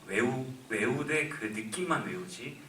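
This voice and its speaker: A man's voice: mid-range voice, lively intonation, measured pace, sharp tone, slight background noise. A man in his twenties, an actor answering a question at a film Q&A, speaking earnestly and thinking aloud with frequent restarts. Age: 20s. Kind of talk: live Q&A answer. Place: cinema auditorium. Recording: echoey cinema from far off.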